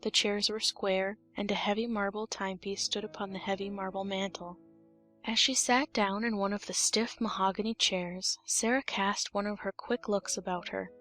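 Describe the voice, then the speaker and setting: A woman's voice: mid-range voice, fairly even intonation, moderate pace, bright, forceful tone, soft background music. A woman reading scripted storybook narration as an audiobook narrator.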